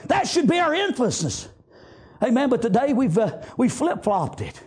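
A man with a Southern US accent preaching a fervent revivalist sermon, exhorting loudly and peppering it with praise interjections. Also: very high voice, huge pitch swings, normal speed.

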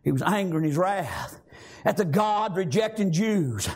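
A man preaching a fiery revival sermon in a church, shouting and dotting his lines with "praise God" and "amen.". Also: sits high, expressive, unhurried, husky.

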